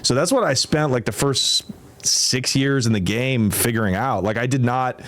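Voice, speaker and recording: A man's voice: mid-range voice, normal speed. Candid man chatting as an interview guest. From Portland, Oregon, US. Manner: candid, animated, says "like" and "dude" often. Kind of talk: casual interview chat.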